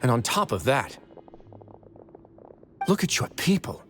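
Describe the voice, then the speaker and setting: An actor voicing a principled, composed prison warden in a video game, speaking with measured resolve. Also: male voice, medium pitch, very animated, a bit bright, faint music behind. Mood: stern reproach. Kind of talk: dramatic video game voice acting.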